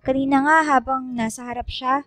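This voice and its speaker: A woman plays a sympathetic schoolgirl chatting in a radio drama. The voice is high and level-headed in manner. It is recorded dry, with faint music behind. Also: flat delivery, a little fast, a bit bright, no echo. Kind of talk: casual radio drama dialogue.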